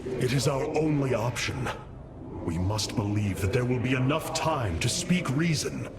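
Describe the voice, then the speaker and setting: A man's voice: medium pitch, expressive, measured pace. A man playing a noble, resolute alien commander in a video game, speaking formally and solemnly like an orator. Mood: solemn, reassuring. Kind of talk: dramatic video game voice acting. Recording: loud orchestral music behind the voice.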